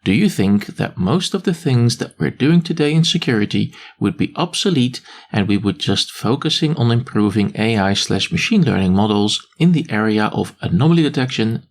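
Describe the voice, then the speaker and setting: A man's voice: on the low side, lively intonation, normal speed. A man acting as moderator, reading out audience questions to a guest.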